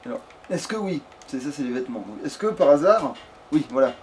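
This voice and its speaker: A man's voice: fairly high pitch, lively intonation, fairly quick. A man doing casual, sweary let's play commentary, grumbling at the game as he plays. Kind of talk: casual gaming commentary with banter. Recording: clearly noisy home game recording.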